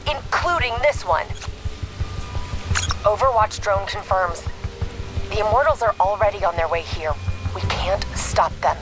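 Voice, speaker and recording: A woman's voice: mid-range voice, close to an everyday voice, low-quality recording. A woman acting as a steely, besieged intelligence operative in a cinematic military game.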